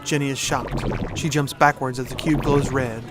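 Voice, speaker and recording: Male narrator: medium pitch, scripted present-tense storytelling over clear music bed, audio drama. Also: fairly even intonation, moderate pace.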